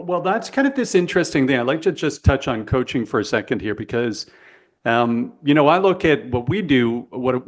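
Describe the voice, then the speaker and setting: A man from Canada hosting a podcast interview, telling stories with enthusiasm and backing up his guest. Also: medium pitch. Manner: enthusiastic, storytelling, affirming. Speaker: podcast host.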